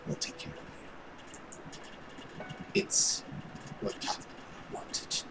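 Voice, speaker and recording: A man playing a gentle, sorrowful man who pleads softly and slowly as he offers comfort in a visual novel. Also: natural voice. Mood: hushed sorrow. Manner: hushed, slow, pleading. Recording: loud music behind.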